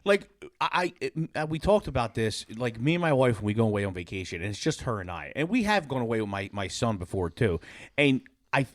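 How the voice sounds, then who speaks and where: Man, podcast host, very animated intonation, casual candid chat, close dry sound. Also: medium pitch, brisk, no room sound.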